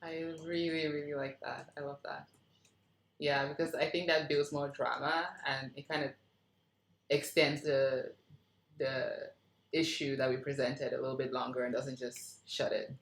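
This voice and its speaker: A woman with a low-pitched voice leads an informal group discussion. She responds in an encouraging, appreciative way. Her voice carries strong room echo. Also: flat delivery, unhurried. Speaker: writer leading the feedback session. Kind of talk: casual workshop discussion. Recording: echoey room.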